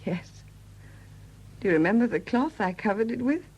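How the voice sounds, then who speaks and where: Husky, confessing murderess in radio drama. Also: female voice, a little high, expressive, normal speed, lo-fi sound. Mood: weary confession. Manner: hesitant, confiding, confessional narration. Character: quiet confessing murderess, a Welsh sculptor's widow. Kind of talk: 1970s British radio drama acting. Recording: dated 1970s radio drama recording.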